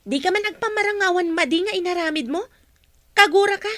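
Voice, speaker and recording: An actress plays a young woman with a very high voice. She is indignant and quick to scold, delivering her lines in a huffy radio drama manner. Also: pushed and bright, no room sound, clean studio sound. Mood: angry.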